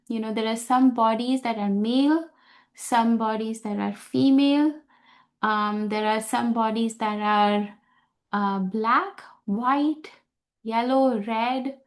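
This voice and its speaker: A woman teaches a scripture class at an unhurried, deliberate pace. Her voice sits a little high, and she often pauses, restarts and reaches for words as she explains. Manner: slow, searching, many fillers and restarts. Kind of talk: unscripted talk on scripture.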